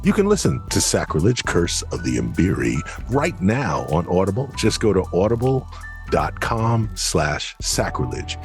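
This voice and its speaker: A man co-hosting a podcast, giving thoughtful, measured opinions in a conversational style. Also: deep voice, huge pitch swings, soft background music. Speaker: podcast co-host.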